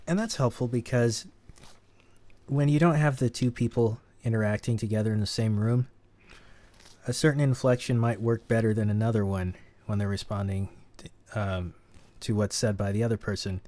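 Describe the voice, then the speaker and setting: A man hosting a casual audio editing tutorial, chatting in a relaxed way and laughing at himself. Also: fairly low pitch, moderate pace. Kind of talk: casual screen-recorded tutorial.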